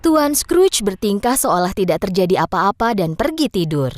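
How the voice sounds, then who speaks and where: Lively woman narrating a children's story. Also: mid-range voice, moderate pace, no room sound, clean studio sound.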